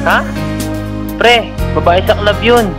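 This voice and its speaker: A man's voice: sits high, slow, over music. A man playing a young man's nosy, concerned best friend in a radio drama, casual and questioning, with a Filipino accent.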